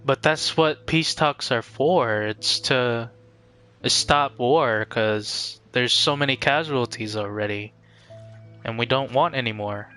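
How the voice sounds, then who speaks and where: A man chatting casually on a game livestream in an earnest, halting, reflective way. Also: mid-range voice, pushed and bright, clean tone, faint music behind. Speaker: game streamer.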